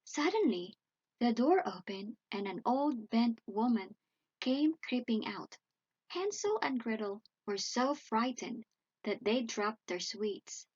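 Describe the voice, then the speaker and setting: A woman reading a fairy tale aloud as a bedtime story, in a dramatic, measured storytelling manner. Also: on the high side, lively intonation, a little mellow, breathy edge, lo-fi sound. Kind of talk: expressive read-aloud bedtime story narration.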